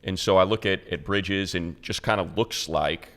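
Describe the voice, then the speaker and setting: A man's voice: low-pitched, normal speed, fairly bright tone. An American man co-hosting a sports show, asking long, rambling questions in a conversational way.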